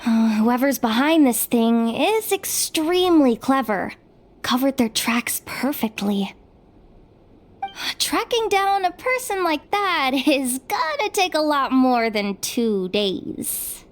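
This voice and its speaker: A woman's voice: high voice, big pitch swings, heavily acted. A woman voicing a sardonic young female investigator in an English game dub, talking in a wry, grumbling way. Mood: explaining. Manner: wry, grumbling, slow-spoken. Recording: faint background noise.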